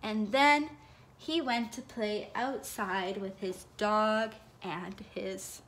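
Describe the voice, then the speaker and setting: A young woman telling a felt board story for children at home, expressive and sing-song, with gasps and whispers, recorded on a phone held over the board. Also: medium pitch, slow pace, slight background noise. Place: home living room, indoors. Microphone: phone camera held over the felt board.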